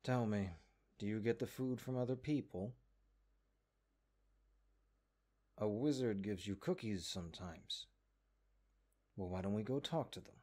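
A man's voice: on the low side, normal speed, warm tone, close to an everyday voice. A man voicing a haughty, exasperated magic book in a comedic audio roleplay, condescending and sarcastic. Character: exasperated, haughty talking cursed grimoire, a sentient magic book.

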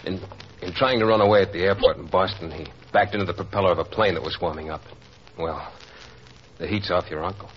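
A man's voice: low-pitched, fairly even intonation, fast talker, natural voice. An actor playing an earnest young lawman in love in a 1940s radio drama. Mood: urgent. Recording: dull, faintly noisy 1940s radio recording.

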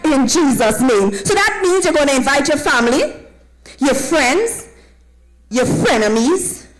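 High-voiced Trinidadian woman preacher. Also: very animated, measured pace, rough recording. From Trinidad and Tobago. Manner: call-and-response, asking listeners to affirm. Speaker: evangelist, guest preacher. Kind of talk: preaching, welcoming a congregation. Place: tented church platform with draped backdrop. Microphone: handheld microphone.

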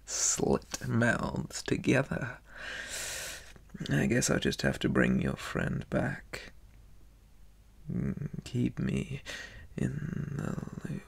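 A man playing a deranged, taunting monster boy inmate in an ASMR horror roleplay, with a breathy, whispery rasp and a slow, teasing drawl. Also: medium pitch, very animated, full character voice. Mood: taunting, menacing.

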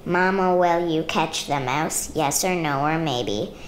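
A woman reading a children's picture book aloud in measured, rhythmic rhyme. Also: deep voice, a little hiss or hum. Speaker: storybook reader.

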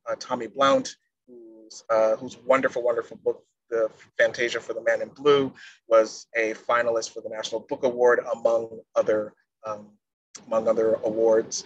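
A middle-aged man giving a measured poetry reading as a poet on a video call. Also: medium pitch, highs cut off. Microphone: wired earphone mic on a video call. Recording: noisy video-call audio.

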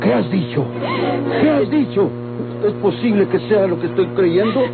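Outraged high-pitched Mexican father. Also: lively intonation, normal speed, creaky. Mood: shocked fury. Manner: indignant, declamatory. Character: outraged, proud rancher father, middle-aged or older. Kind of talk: old Mexican radio drama acting. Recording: tinny, humming old radio recording with music.

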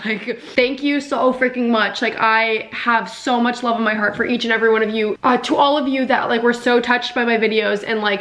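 A young woman from Canada, sick and raspy, chatting animatedly and sarcastically to her camera at home as a YouTuber. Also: fairly high pitch. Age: young adult. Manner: animated, sarcastic, laughs often. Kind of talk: casual vlog, reading comments. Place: home kitchen, indoors.

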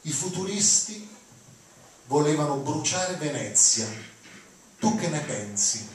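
A man's voice: on the high side, sing-song, takes it slowly. An older man from Rome giving an informal lecture, conversational and digressive, seated on stage with a handheld mic. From Rome, Italy. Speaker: lecturer introducing readings. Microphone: handheld mic, recorded from audience. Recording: echoey hall, distant mic, noisy.

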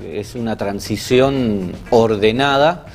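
A man, a guest on a studio panel, thinking aloud with halting restarts. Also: fairly low pitch, faint music behind. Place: TV studio set.

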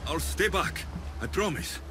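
A man's voice: sits high, expressive, a little slow, over music. A man playing a confident, caring young Greek mercenary in a video game, assured and reassuring. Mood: concerned, reassuring. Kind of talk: video game cutscene acting.

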